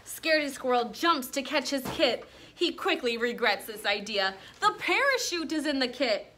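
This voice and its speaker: A woman with a bright, fairly high voice reads aloud as a schoolteacher. She is expressive and instructional, stopping to explain and check in with questions. There is faint noise behind her. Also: moderate pace. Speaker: elementary school teacher. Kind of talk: classroom read-aloud with teaching commentary.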